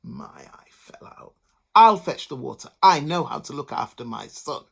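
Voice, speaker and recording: A woman's voice: deep voice, sing-song, moderate pace, vocal fry, husky, heavily acted. A woman voicing a fussy older mother who scolds her family. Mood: scolding. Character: fussy older mother, bossy then flustered.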